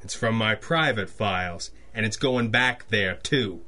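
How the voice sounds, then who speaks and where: A man playing a gruff, jaded, sardonic police lieutenant in an old-school radio detective drama, speaking curtly. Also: on the low side, a little slow, sharp tone. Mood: needling. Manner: curt, sardonic.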